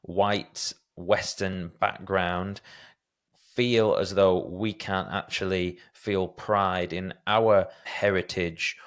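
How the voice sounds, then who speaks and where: A man with a lowish, smooth and resonant voice hosts a podcast interview. He talks in a casual, conversational way and tells candid, self-deprecating stories about himself. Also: steady tone, takes it slowly, fairly bright tone. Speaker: podcast host.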